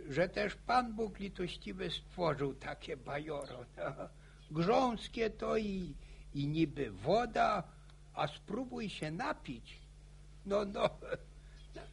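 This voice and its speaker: An actor playing an old village grandfather in a radio play, spinning boastful war yarns with a gravelly, stammering, chuckling voice. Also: a little high, a little hiss or hum, heavily acted. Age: older. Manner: chuckling folksy yarn-spinning. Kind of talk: radio drama acting.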